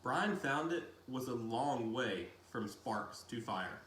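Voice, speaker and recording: Slow-paced man reading a story, roomy echo. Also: mid-range voice, animated, a bit bright. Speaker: reader of a children's novel read-aloud. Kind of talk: audiobook-style narration with brief casual asides. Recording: roomy echo, faint background noise.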